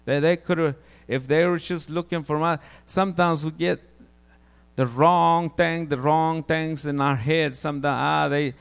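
Preacher, male, Guatemalan Spanish accent, gravelly, slightly higher voice, halting delivery with restarts. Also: steady tone. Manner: halting, repeats words, restarts often. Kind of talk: informal testimony sermon.

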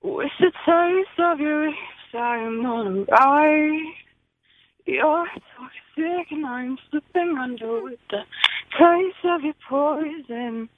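A woman's voice: sits high, slow, no echo. A woman singing unaccompanied as a contestant calling a radio show by phone. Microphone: telephone. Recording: dull, band-limited phone call.

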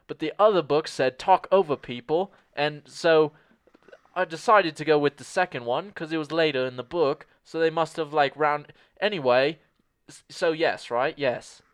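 A man in an improv comedy scene playing a cocky, clueless American who pitches a spy movie, rambling and brash and talking over people. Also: smooth, no room sound, natural voice.

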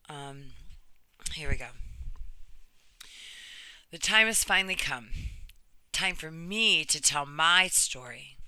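A woman's voice: on the low side, bright, forceful tone, smooth, no room sound. A woman reading a personal written story aloud in an earnest manner. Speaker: reader of another person's true story.

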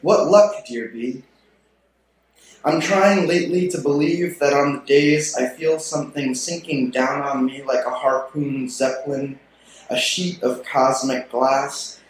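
Slow-paced man reading poetry in echoey room. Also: mid-range voice, fairly even intonation. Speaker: poet reading at a literary event. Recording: very echoey room, faint noise.

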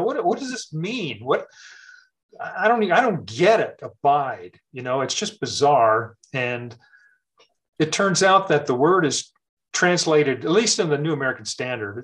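A man's voice: medium pitch, fairly bright tone. Reflective man talking faith, full of fillers. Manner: thoughtful, many fillers and restarts. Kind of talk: casual faith conversation.

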